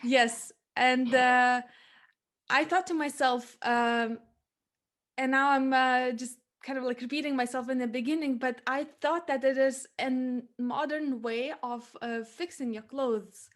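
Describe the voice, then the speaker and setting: A woman's voice: high-pitched, steady tone, measured pace, fairly bright tone. A young woman from Lithuania with a Lithuanian accent, hosting a conversational podcast interview in an earnest, explanatory manner.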